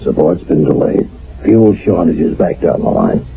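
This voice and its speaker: A harried army captain in a radio drama. His low, gravelly voice deliberates haltingly yet keeps its authority, through a muffled old radio recording. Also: animated, heavily acted. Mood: resigned, puzzled. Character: hesitant, harried army captain, resigned to orders.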